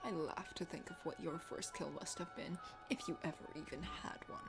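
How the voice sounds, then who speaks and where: Here is a haughty, hot-tempered dragon prince from a fantasy game, acted out by a woman. The voice is gravelly and sits low for the performer, full of boasts and scorn. Music plays behind it. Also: huge pitch swings, moderate pace. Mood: furious, boastful. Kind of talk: dramatic game character reading.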